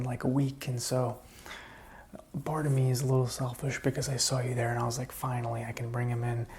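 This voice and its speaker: A man playing a lonely, rambling zombie apocalypse survivor in an ASMR roleplay, speaking softly and soothingly, often dropping to a whisper. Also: mid-range voice, a little hiss or hum, natural voice. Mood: apologetic, soothing. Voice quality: soft, breathy, often whispered. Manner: slow, hesitant, rambling, soothing.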